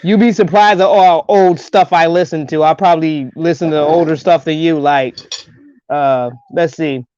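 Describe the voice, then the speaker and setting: A woman with a low speaking voice, chatting as a guest on a live stream, frank and teasing. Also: fairly even intonation, moderate pace, mellow, highs cut off, slight background noise. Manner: frank and teasing, laughs often. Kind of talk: casual chat.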